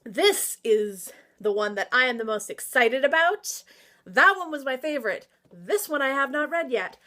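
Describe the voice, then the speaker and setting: Woman, high bright voice, casual book vlog host, gushing, opinionated, theatrical, slangy. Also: moderate pace. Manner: excitable, gushing, emphatic and slangy. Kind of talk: casual, opinionated book recommendation chat to viewers.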